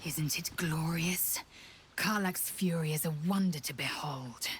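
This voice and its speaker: A woman playing an admiring, battle-hungry warrior in a video game, with a hushed, breathy and seductive delivery. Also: low voice, a little hiss or hum. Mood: confessional. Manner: slow, deliberate, sultry delivery. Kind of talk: video game character acting.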